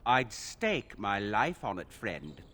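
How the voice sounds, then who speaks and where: An actor playing an excitable, temperamental perfectionist armorsmith in a fantasy video game, theatrical and fussy. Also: male voice, on the low side, slow pace, exaggerated voice. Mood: awed, eager. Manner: theatrical, fussy, gushing and petulant.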